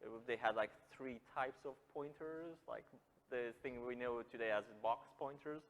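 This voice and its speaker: A man with a Romanian accent giving an informal technical presentation, hesitant, with frequent filled pauses. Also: medium pitch. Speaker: conference speaker, software engineer. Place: indoor meetup venue. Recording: echoey hall with long reverb.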